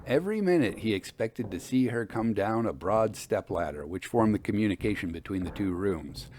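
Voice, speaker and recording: A man hosting a storytelling show, reading scripted narration and old ghost tales in a measured, deliberate way. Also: on the low side, lively intonation, darkish tone, a little hiss or hum. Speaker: podcast host and storyteller.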